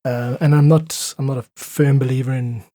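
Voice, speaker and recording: A man speaking as a podcast guest, reflective and earnest, slightly husky, making his points with emphatic repetition. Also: medium pitch, fairly even intonation, normal speed, mellow, dry recording, clean studio sound. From South Africa. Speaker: podcast guest, independent musician. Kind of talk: reflective casual conversation.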